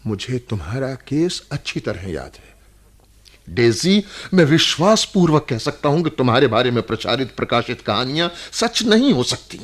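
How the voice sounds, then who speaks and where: An actor playing an earnest, probing playwright visiting a prison in a radio drama, speaking with emotion, insistence and dramatic pauses. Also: male voice, medium pitch, very animated. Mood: agitated, insistent.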